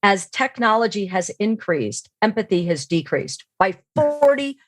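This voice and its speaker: A woman's voice: medium pitch, very animated, measured pace, dry recording. A middle-aged woman explaining her views as an expert podcast guest, in an assured, emphatic way.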